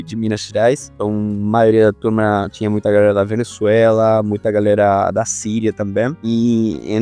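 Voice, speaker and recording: A man in his thirties from Argentina, a podcast guest speaking Portuguese with an Argentine Spanish accent, telling his story in a relaxed, rambling way. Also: on the low side. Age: 30s. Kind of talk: casual interview talk.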